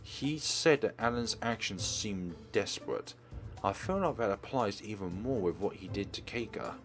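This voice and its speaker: A low-pitched male let's player reads visual novel text aloud, dramatising it as he goes. His delivery is expressive storytelling with small stumbles, over game music. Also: moderate pace. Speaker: let's play host. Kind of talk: reading a visual novel aloud, switching character voices. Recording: music behind the voice.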